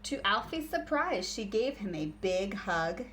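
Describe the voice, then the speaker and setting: A woman teacher reading a picture book aloud to young children in an expressive, deliberate storytelling way. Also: mid-range voice, slight background noise.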